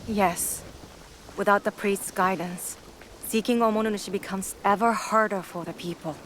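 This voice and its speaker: An actress playing a calm, devout female shrine priestess in a game cutscene, measured and solemn. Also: mid-range voice, a little hiss or hum, lightly acted. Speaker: game character. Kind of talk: video game cutscene acting. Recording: game audio with music.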